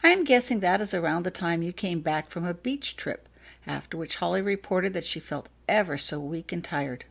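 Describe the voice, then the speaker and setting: Woman reading as a measured, apologetic grown man. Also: fairly low pitch, animated, vocal fry. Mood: grave, factual. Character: calm, apologetic grown man explaining grave matters.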